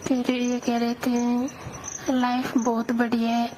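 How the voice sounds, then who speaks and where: A woman's voice: on the high side, completely flat delivery, takes it slowly, background noise. A young woman playing a hesitant newlywed bride who confides plaintively in her lover, as if on an intimate phone call. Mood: plaintive, defensive. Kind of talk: intimate phone-call storytelling in audio drama.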